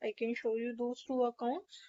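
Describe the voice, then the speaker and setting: Female tutorial presenter, higher-pitched voice, methodical step-by-step delivery, slightly muffled voice-over sound. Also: flat delivery, normal speed, warm tone. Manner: methodical, instructional. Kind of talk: step-by-step screen tutorial narration.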